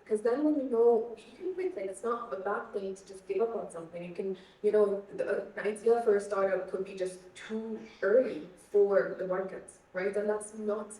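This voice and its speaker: A woman's voice: mid-range voice, normal speed, hardly any pauses, warm tone. A young woman from Egypt answering questions on a panel, earnest and searching for words, often repeating herself. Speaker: guest speaker. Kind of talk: panel conversation. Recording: echoey hall, mic at a distance.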